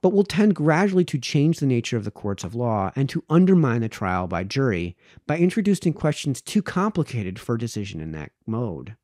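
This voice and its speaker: A man reads formal prose aloud in a measured, deliberate way, phrase by phrase, close to the microphone. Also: medium pitch, sing-song, a little mellow, no echo. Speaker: reader, host of a read-aloud series. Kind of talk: reading aloud from a book of formal essays. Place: small home office, indoors. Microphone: close boom-arm podcast microphone, headphones.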